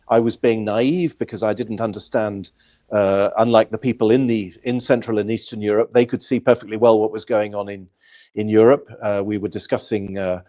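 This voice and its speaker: A man hosting an online interview show, introducing his guest in a measured way with frequent filler sounds. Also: lowish voice, dark tone. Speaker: host.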